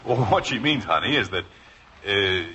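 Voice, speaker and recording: A man's voice: lowish voice, expressive, moderate pace, bright, forceful tone. An actor playing a flustered, evasive middle-aged husband and father in a 1950s radio sitcom, hesitant and exasperated. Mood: evasive, nervous. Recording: noisy, muffled old radio show recording.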